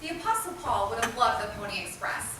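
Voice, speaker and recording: A woman's voice: fairly high pitch, very animated, fast talker. A woman giving a scripted dramatic reading in a readers' theatre group. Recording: echoey hall, distant mic, loud noise.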